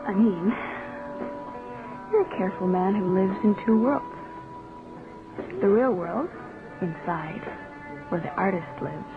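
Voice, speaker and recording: A woman's voice: medium pitch, takes it slowly, pauses often, close to an everyday voice. An actress playing a flirtatious, bold female writer in a radio drama, teasing and languid in her delivery. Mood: teasing, coaxing. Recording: thin phone-like radio audio, music behind.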